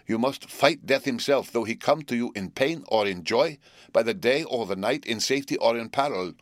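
A man voicing an elderly male professor, speaking gravely, slowly and emphatically in a fatherly way. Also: on the low side, dry recording, studio quality, close to an everyday voice. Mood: fervent urging. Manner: grave, slow and emphatic pleading.

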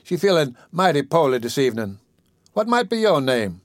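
A man acts out a stout, protective caretaker woman in a story reading. She talks in animated, folksy Southern dialect. The voice is lifted in pitch and sounds dry and close. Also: normal speed, no echo. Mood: animated, helpful.

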